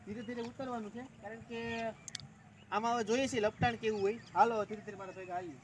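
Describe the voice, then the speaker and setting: A man's voice: high-pitched, fairly even intonation, fast. A man, chatting casually as he guides viewers across a shallow rocky river. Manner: chatty, guiding viewers along. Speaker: village vlogger. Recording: loud outdoor noise.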